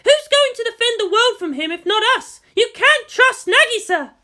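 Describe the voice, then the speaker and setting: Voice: extremely high pitch, lively intonation, normal speed, pushed and bright, pitched above the performer's own voice, heavily acted. A narrator voicing a fierce, defiant female military commander who swears and snaps in anger. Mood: furious, indignant.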